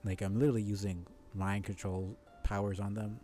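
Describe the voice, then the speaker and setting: A man giving casual live commentary on a video game, musing and muttering to himself in an unhurried way as he thinks aloud. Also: lowish voice, level tone, mellow, soft background music.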